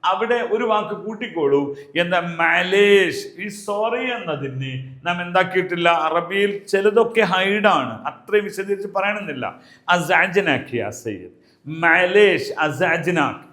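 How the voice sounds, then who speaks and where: Male language teacher, Malayali accent, high-pitched voice, explanatory delivery with deliberate repetition, recorded in a reverberant room. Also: animated, sharp tone. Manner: explanatory, repeats example phrases slowly and deliberately. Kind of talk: spoken language lesson. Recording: echoey room.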